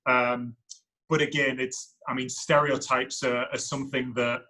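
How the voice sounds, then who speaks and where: A deep-voiced man in his thirties talks through his coaching experience on a podcast with a non-American accent. His tone is bright and forceful, yet he thinks aloud as he answers, weighing each point. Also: flat delivery, a little slow. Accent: foreign to the United States. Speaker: women's soccer head coach.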